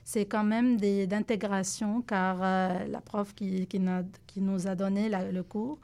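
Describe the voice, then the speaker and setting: Woman speaking halting French. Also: medium pitch, little pitch movement, a little mellow. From Lebanon. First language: Arabic. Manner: halting, searching for words in a second language. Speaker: guest. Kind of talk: radio interview answers.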